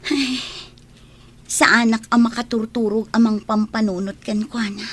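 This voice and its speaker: A woman's voice: fairly high pitch, steady tone, measured pace, fairly bright tone, breathy edge. A woman playing a troubled village wife in a radio drama, plaintive and worried, with an Ilocano accent. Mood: worried, sad. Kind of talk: Filipino comedy radio drama acting.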